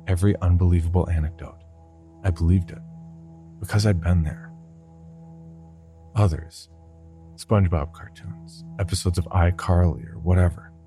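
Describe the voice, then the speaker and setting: A man narrating a scripted first-person horror story for a podcast. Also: sits low.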